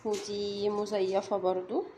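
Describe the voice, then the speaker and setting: A woman's voice: mid-range voice, unhurried. A young woman with an Egyptian accent, a mother giving chatty running commentary to viewers while unwrapping candy at home. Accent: Egyptian Arabic. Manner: chatty, addressing viewers directly. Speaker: mother vlogger. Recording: steady noise with wrapper crinkling.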